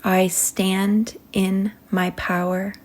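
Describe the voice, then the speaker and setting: A woman leading a guided meditation in a soothing manner, speaking in short phrases with long pauses that trail softly toward a whisper. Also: mid-range voice, flat delivery.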